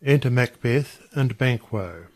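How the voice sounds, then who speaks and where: A man's voice: medium pitch, lively intonation, measured pace, darkish tone. A man reading out stage directions as scripted narration for an audio play.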